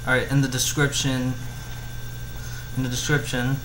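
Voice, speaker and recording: Halting amateur male reader, echoey noisy room. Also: medium pitch, completely flat delivery. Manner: halting, stumbles over words and repeats phrases. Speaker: amateur fan fiction reader. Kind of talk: informal read-aloud of a story with asides. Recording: roomy echo, clear background noise.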